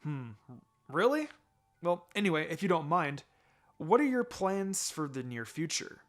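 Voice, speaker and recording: A man's voice: on the high side, big pitch swings, unhurried, soft background music. A man voicing an easygoing, teasing young ninja boy in a story reading.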